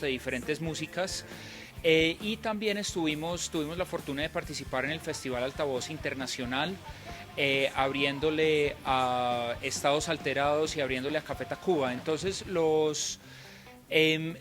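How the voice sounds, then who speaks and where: Young scottish-born guy, english native, fairly high voice, lots of ums, music going behind. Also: fairly bright tone. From Scotland. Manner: hesitant, many fillers and restarts. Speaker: band member. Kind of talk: casual interview answers.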